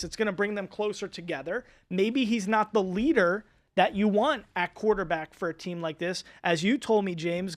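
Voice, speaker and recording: A man hosting a sports talk show in a studio, emphatic and fired up, with his voice going hoarse. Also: high-pitched, no echo. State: losing his voice.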